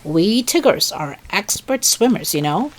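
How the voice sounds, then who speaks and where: A woman's voice: medium pitch, very animated, unhurried, slight background noise. A woman voicing a cheerful, joking male tiger in a children's story, with a jaunty, chummy manner. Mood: reassuring.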